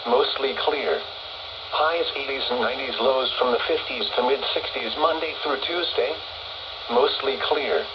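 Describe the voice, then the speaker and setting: A synthetic male voice reading a scripted weather forecast in a measured, impersonal way over a radio broadcast. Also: mid-range voice. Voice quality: synthetic text-to-speech voice. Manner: measured, formulaic, impersonal delivery. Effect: radio broadcast transmission. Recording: muffled, noisy weather radio reception.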